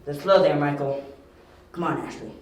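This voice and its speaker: A man's voice: a little high, steady tone, moderate pace, lightly acted. Man playing a wry undercover detective, very echoey. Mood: reassuring. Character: quick-witted male detective undercover, wry and unflappable. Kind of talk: amateur audio drama acting. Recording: very echoey, distant, faint noise.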